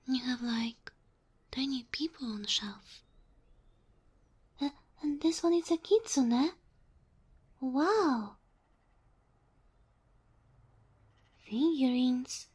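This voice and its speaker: A woman voicing a sweet, curious young kitsune fox girl in a soft ASMR roleplay, breathy and often near a whisper, speaking closely to the listener with naive, hesitant wonder. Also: sits high, exaggerated voice. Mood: curious, delighted.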